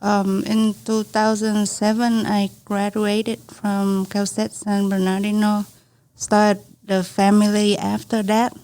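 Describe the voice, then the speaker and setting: A woman in her forties with a Vietnamese accent, telling her own story as a podcast guest in halting second-language English. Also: mid-range voice, completely flat delivery, mellow, rough recording. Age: 40s. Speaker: podcast guest, small business owner. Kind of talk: conversational personal storytelling.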